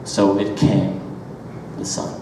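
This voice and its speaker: A man giving a dramatic, deliberate literary reading of first-person prose, with drawn-out pauses and rhythmic repetition. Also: on the low side, completely flat delivery. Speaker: author reading own work aloud. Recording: very echoey hall, distant mic, background noise.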